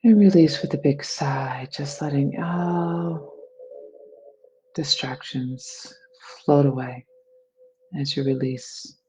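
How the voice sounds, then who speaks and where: A person leading a guided meditation in a soothing, unhurried manner. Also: mid-range voice, dark tone. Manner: soothing, unhurried phrasing with breathy releases.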